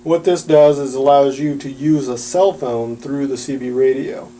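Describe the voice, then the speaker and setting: A man's voice: medium pitch, normal speed, mellow. A man giving a plain-spoken, instructional product demonstration. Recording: audible background noise with hum.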